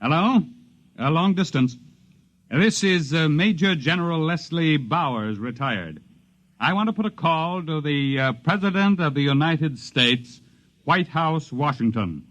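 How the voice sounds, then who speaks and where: An actor playing a gruff, bossy retired army general, an older man, in a 1950s radio drama. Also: on the high side, measured pace, dull audio. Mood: scheming. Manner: blustering, bossy, excitable.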